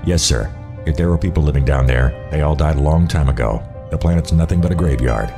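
A man's voice: a bass voice, fairly quick, vocal fry, over music. A man playing a respectful starship officer who reports in a grave, matter-of-fact way in a sci-fi audio drama. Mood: grave reporting.

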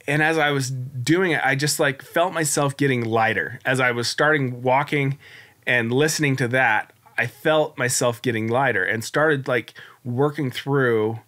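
Guy in his 40s, bright crisp voice, rambling and candid as a podcast guest. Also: mid-range voice. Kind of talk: casual interview storytelling.

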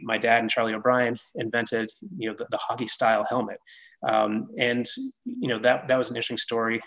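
An American man with a noticeably creaky voice at an ordinary pitch. He talks casually on a video call, modest and loosely conversational, explaining products. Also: fairly bright tone, low-quality recording. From Massachusetts, United States. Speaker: guest, head of product development at a sports gear maker. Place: small indoor workshop office. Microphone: computer mic on a video call.

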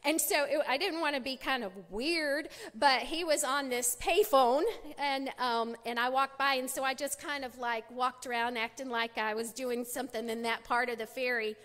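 A woman's voice: sits high, steady tone, moderate pace, few pauses, fairly bright tone, in a large hall. A middle-aged American woman giving a talk at a church women's conference, telling stories in a chatty way with many fillers and restarts, speaking at a podium on a large stage. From United States. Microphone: podium mic.

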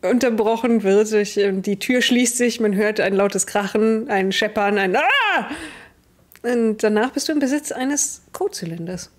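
A woman's voice: mid-range voice, unhurried. A young woman, improvising narration as game master of a tabletop role-playing game. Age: young adult. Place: indoors at home, on a video call.

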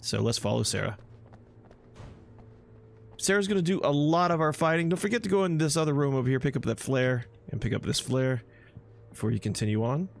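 A man's voice: medium pitch, lively intonation, fairly quick, vocal fry, pauses often. A man giving casual, rambling walkthrough commentary on a video game, talking to himself and to viewers. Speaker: gameplay host.

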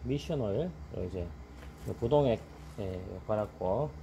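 A man's voice: lowish voice, expressive, moderate pace, mellow. Middle-aged guy talking thru a tractor fix outside, blunt and grumbly, some yard noise. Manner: blunt, colloquial, grumbles while working. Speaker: farm machinery repair vlogger. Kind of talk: casual how-to explanation while working. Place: outdoors on a gravel farmyard. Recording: outdoor ambient noise.

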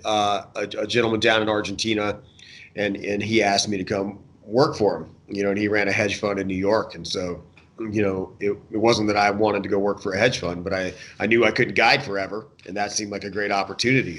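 A man's voice: on the low side, level tone, fairly quick, fairly bright tone. A man in his thirties or forties, talking casually and thoughtfully as an interview guest. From United States. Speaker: interview guest, fishing guide. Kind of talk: casual reflective interview chat. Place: indoor room, remote video call.

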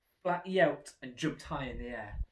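Animated, unhurried male storybook reader. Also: medium pitch. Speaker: teacher reading aloud. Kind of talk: animated children's storybook narration. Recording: roomy indoor echo.

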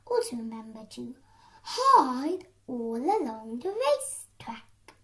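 A young girl voicing a small, patient and clever male snail in a children's story, in a measured way. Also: on the high side, sing-song, fairly bright tone, full character voice. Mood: planning.

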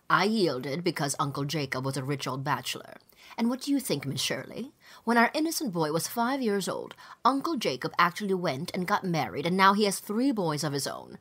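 A woman's voice: lowish voice, breathy edge, pitched below the performer's own voice. A woman voicing a haughty, affected society matron with a pompous, insistent manner in an audiobook. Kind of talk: audiobook character dialogue.

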